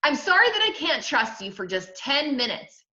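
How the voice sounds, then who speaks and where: Woman acting an emotive, high-pitched storybook mother. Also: very animated, moderate pace, bright, forceful tone, echoey room, dull audio, full character voice. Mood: angry. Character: doting but stern, easily upset storybook mother.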